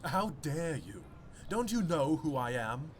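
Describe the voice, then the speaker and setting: A man's voice: a little high, big pitch swings, slow, slightly rough, full character voice. A man playing a haughty, scornful young snob in an anime game, pompous and disdainful. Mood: offended. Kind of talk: anime visual novel voice acting.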